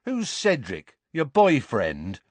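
A thuggish teenage bully boy, played by a man reading a storybook. He sneers and snarls his boasts with a very lively, swinging pitch. The sound is close and dry. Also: slow, husky, no room sound, full character voice. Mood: sneering, taunting.

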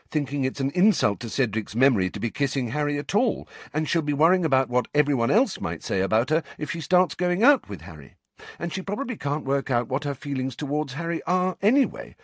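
A man performs a know-it-all teenage schoolgirl for a storybook. The voice is raised well above his own, brisk and precise, with an earnest, exasperated, lecturing manner. The sound is dry and close. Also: on the high side, breathy edge, no room sound, pitched above the performer's own voice.

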